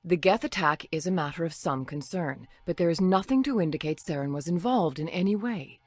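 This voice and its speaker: Woman playing a low-voiced, composed councilor. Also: expressive, lightly acted. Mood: composed authority. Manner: measured, diplomatic. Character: calm, formal alien female councilor. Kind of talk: video game voice acting.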